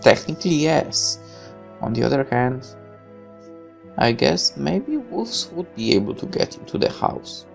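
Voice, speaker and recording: Gaming video reader, medium pitch with a gravelly creak, reading story text with offhand comments over music. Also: sing-song, measured pace. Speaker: gaming video host reading a visual novel aloud. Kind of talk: story read-aloud with casual asides.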